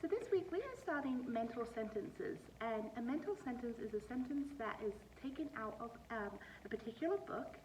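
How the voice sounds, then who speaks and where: A woman's voice: a little high, recorded from a distance, slight background noise, low-quality recording. A woman teacher talking to young children and narrating a storybook read-aloud in an explanatory way.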